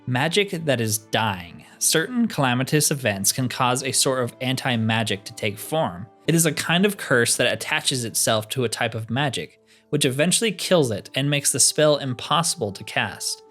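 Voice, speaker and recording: A man's voice: mid-range voice, fairly bright tone. A man reading the part of a courteous, scholarly cat-man spell trainer, speaking formally in game dialogue. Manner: formal, speaks of himself in third person.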